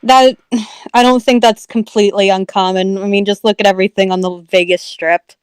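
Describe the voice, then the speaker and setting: A woman's voice: mid-range voice, steady tone, fairly quick, clean tone, no echo. A woman co-hosting a casual stream, chatty and earnest, with many fillers and restarts. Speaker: co-host.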